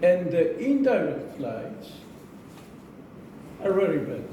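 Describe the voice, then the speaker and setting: Slow-speaking man in a very echoey room. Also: sits high, big pitch swings, dark tone. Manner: slow, deliberate, repeats phrases for emphasis. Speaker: club speaker giving a speech. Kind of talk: prepared club speech to a live audience. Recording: echoey room, distant mic, noisy.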